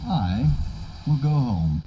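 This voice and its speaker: A man's voice: mid-range voice, sing-song, slow pace, muffled recording, slight background noise. An actor playing an aloof male elf in a fantasy game, curt and formal. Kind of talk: fantasy video game voice acting.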